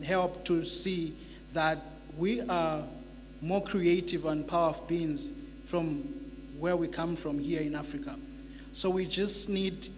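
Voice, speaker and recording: A man from Zambia with a fairly high voice speaks on a conference panel. He talks earnestly, with occasional pauses. A long hall reverb and a faint hum sit behind him. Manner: earnest, steady, with some "um" pauses. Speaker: fashion designer panelist. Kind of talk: panel introduction and remarks.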